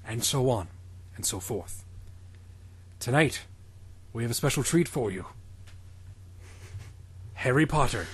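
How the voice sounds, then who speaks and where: A man's voice: medium pitch, husky, dry recording. A man hosting a comedy podcast in a dry, mock-formal announcer tone. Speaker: podcast host.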